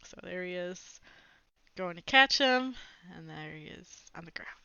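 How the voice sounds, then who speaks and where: Woman storyteller, bright forceful voice, very lively pitch, expressive children's read-aloud, slightly dull lo-fi sound. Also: unhurried, creaky, clean tone. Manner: animated, dramatizing dialogue, commenting to young listeners.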